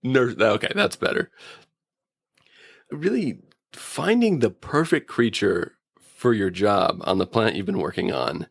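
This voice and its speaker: A man with a low, gravelly voice co-hosts a casual review chat. His pitch swings about freely as he riffs and exaggerates for comic effect. The sound is close and dry. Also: lively intonation, unhurried, long pauses, no room sound. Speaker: podcast co-host reviewing TV episodes.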